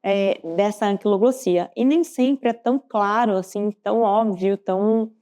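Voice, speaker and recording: A woman's voice: medium pitch, normal speed, mellow. A Brazilian woman co-hosting a podcast, explaining things conversationally as a health professional and often adding small check-in tags. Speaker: podcast co-host and pediatric dentistry professional.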